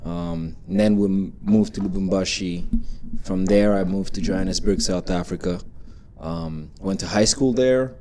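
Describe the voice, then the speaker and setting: A man's voice: low voice, moderate pace, hardly any pauses, warm tone, slight background noise. A man in his twenties from Congo, a guest in a casual interview, talking loosely with many fillers and restarts. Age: 20s.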